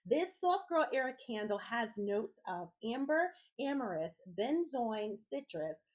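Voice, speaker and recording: A middle-aged woman hosting a self-care podcast, sounding raspy and congested from a head cold, speaking in an encouraging way straight to her listeners. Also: a little high, big pitch swings, unhurried. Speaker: podcast host. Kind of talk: motivational self-care talk.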